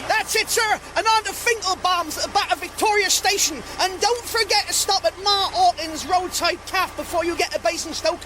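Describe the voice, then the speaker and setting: A man's voice: very high-pitched, moderate pace, few pauses, background noise. A man playing an earnest, hardworking young lorry driver in a period radio drama, deferential and insistent. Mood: eager.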